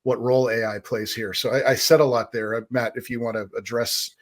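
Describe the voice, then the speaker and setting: Mid-pitched man hosting a business interview. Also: little pitch movement, fairly quick. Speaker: interview host.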